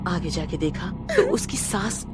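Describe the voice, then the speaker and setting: Lively woman narrator over loud music. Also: lowish voice, a little slow. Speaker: storyteller, narrator. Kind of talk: dramatic scripted horror story narration. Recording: loud music bed behind voice.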